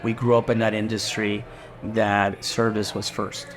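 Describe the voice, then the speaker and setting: Man, middle-aged, from Guatemala, low-pitched, casual interview talk, rambling with fillers. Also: unhurried. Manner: relaxed, rambling with fillers and restarts. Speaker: restaurant owner.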